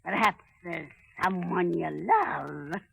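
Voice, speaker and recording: A woman's voice: on the low side, takes it slowly, fairly bright tone, heavily acted. An actress playing a wicked, cackling old witch who poses as a sly, wheedling granny peddler in 1930s radio acting. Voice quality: cackling, creaky. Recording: noisy vintage 1930s radio broadcast.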